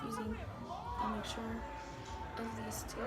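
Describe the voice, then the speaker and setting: A young American woman with a voice hoarse from being sick, chatting through a casual makeup tutorial in a low-energy, hesitant way. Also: very animated, a little slow, loud music behind the voice. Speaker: makeup vlogger. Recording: very noisy room with background chatter. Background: people and children talking.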